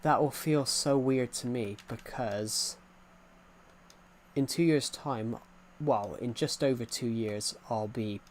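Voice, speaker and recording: Young male streamer, twenties, mid-pitched, rambling and repetitive, casual chat to viewers, faint background noise. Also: slow. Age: 20s. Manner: rambling, repeats himself, answers chat. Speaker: gaming streamer. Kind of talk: casual live-stream chat while playing.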